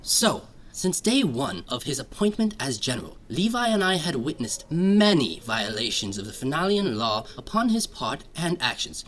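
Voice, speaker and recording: A man's voice: on the high side, big pitch swings, a bit bright, a little hiss or hum, low-quality recording. Man playing a nervous, swooping-voiced lieutenant. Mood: nervous, formal. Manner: stammering, halting, over-formal report. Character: nervous, bumbling young male lieutenant, eagerly formal. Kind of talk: fantasy audio drama acting.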